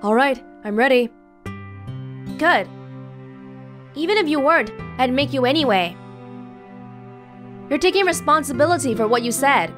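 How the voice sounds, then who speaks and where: Woman voicing a feisty, high anime schoolgirl. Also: animated, normal speed, long pauses, fairly bright tone, faint music behind. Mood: bossy, smug. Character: feisty, proud, defensive young anime schoolgirl.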